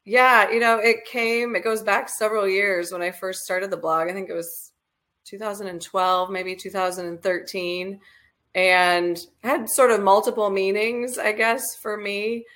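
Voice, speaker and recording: A woman's voice: medium pitch, flat delivery, unhurried, fairly bright tone. Laughing female professor guest. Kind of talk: interview answers.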